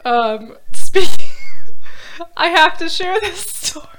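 A woman co-hosting a casual podcast, chatty and quick to laugh, often trailing off mid-sentence, recorded at home on lo-fi gear. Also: very high voice, animated, a bit bright, a little hiss or hum. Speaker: podcast co-host. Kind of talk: casual chat.

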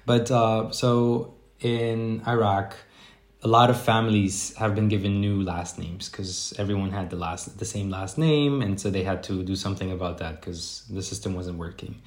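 A man from Germany talks through his answers slowly, doubling back and filling pauses as he thinks. His voice sits low. The sound carries a roomy echo. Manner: reflective, rambling with many fillers and restarts. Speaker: podcast guest, life coach. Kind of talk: casual interview chat.

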